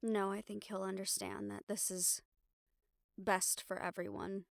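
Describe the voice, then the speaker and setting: A woman's voice: medium pitch, flat delivery, a little slow, creaky, dry recording, studio quality. A woman acting a weary, sardonic, stubborn young newspaper reporter in an intimate audio roleplay, talking in a casual, wry, sweary way. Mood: tired, wry.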